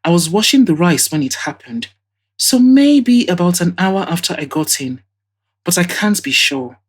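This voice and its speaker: A chatty, scornful woman giving her account in an audio drama, played by a female reader. Her voice is low and husky. She speaks emphatically, with indignant asides. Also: expressive, moderate pace. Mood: earnest, recalling. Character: Nkiru, chatty, scornful witness, a housekeeping woman.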